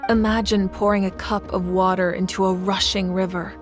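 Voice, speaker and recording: A woman plays a fire goddess who leads her people, with a voice at an ordinary middle pitch. She talks in a calm, patient, measured way, like someone used to explaining hard things. Soft music sits under her lines. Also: natural voice. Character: female fire goddess ruler, calm and protective. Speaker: Pyro Archon. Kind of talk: video game voice acting.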